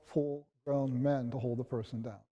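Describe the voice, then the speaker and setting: Male American priest: warm dark tone, mid pitch, interview answers with long room reverb. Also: fairly even intonation, normal speed, muffled recording. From Pennsylvania, USA.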